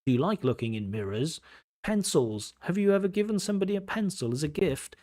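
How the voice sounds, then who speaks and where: A man's voice: on the high side, expressive, fairly quick, darkish tone. A middle-aged man, an IELTS examiner, answering viewer questions on a livestream with direct, blunt advice and rhetorical questions. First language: English.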